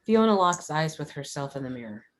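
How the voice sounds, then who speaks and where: A woman's voice: sits low, big pitch swings, a little fast. A woman reading scripted stage directions as narrator at a screenplay table read.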